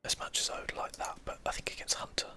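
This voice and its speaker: A whispered ASMR commentary over gameplay, almost entirely unvoiced. The whisper is breathy and very bright, with a sharp, airy edge. The speaker muses aloud and takes time over each thought. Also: fast talker. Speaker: host of a game play channel.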